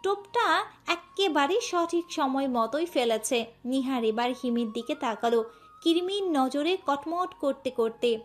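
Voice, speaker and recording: High-pitched woman narrating a story. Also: expressive, measured pace. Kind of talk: scripted romantic story narration. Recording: music bed under voice.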